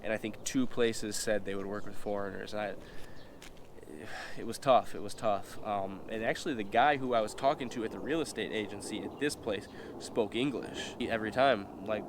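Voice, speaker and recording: Man, young adult, nasal from a cold, rambling, slangy, fairly low voice. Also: noisy background. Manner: rambling, filler-heavy, slangy. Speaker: vlogger. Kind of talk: casual storytelling vlog. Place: indoors, eating while talking.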